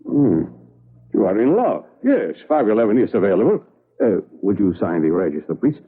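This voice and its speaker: Muffled radio-play clerk: lowish voice, courteous, guarded, formal. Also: male voice, very animated, husky. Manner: polite, deferential, measured. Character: courteous, formal, cautious hotel desk clerk. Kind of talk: radio drama acting. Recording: muffled old radio recording.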